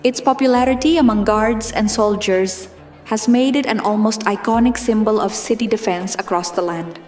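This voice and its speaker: A woman narrator giving a measured, formal scripted reading of fantasy lore, in documentary style. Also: mid-range voice, darkish tone, roomy sound, faint music behind.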